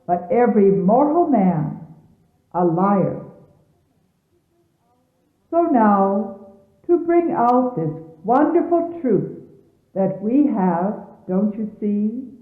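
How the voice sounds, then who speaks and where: Female reader for solemn religious text: unhurried, formal and reverent, on a thin, band-limited vintage tape. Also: medium pitch. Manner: deliberate, reverent, formal phrasing. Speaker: religious leader reading scripture aloud. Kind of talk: solemn scripted reading of sermons. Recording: muffled, echoey 1970s phone-like tape.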